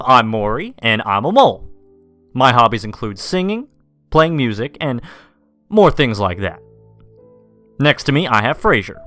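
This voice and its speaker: A man voicing a cheerful animatronic mole who hosts a kids' stage show in a peppy, booming way with a Mexican-American accent. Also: bright, forceful tone, no echo.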